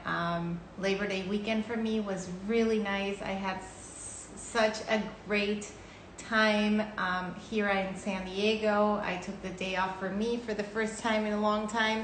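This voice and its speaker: A woman's voice: medium pitch, a bit bright, roomy sound, slight background noise, rough recording. A woman hosting a casual online interview, upbeat and effusive, with lots of fillers. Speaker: host. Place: San Diego.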